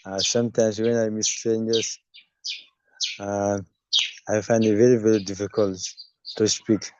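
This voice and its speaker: A man who stutters, speaking haltingly on a video call during a webinar. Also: on the low side, flat delivery, darkish tone, slight background noise. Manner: stutters, halting with many pauses. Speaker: webinar participant. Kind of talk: spontaneous comment. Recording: dry muffled video call audio.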